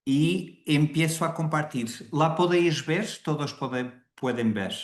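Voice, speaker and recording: A man with a Portuguese accent speaks slowly and carefully, pausing on fillers and restarting words as he presents. He is a Jesuit priest on a video call. His voice carries the echo of the room he sits in. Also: fairly high pitch. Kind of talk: unhurried webinar presentation. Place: indoors in Rome.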